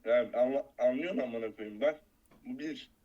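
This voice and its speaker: A man's voice: mid-range voice, normal speed, lo-fi sound. A man, a stranger on a video chat, talking in a pushy, coarse and casual way.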